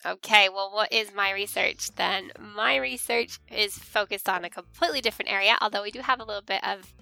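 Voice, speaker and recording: A woman's voice: medium pitch, fairly quick, gravelly, pushed and bright, no echo, soft background music. A young Canadian woman with a Canadian accent, chatting casually and earnestly about her academic research. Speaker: history researcher, co-host.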